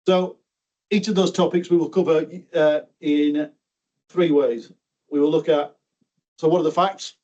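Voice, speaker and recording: A man's voice: medium pitch, dark tone, distant mic, lo-fi sound. Hesitant middle-aged man presenting. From South Yorkshire, England. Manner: hesitant, repeats words, self-deprecating. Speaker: railway project engineer, presenter. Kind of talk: conference presentation. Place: meeting room.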